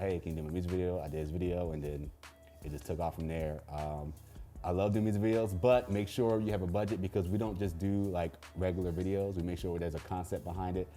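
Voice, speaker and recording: A young man from Baltimore who directs films and music videos, answering questions in a relaxed, laid-back way with many pauses and fillers. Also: low-pitched. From Baltimore, Maryland. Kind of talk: casual Q&A chat.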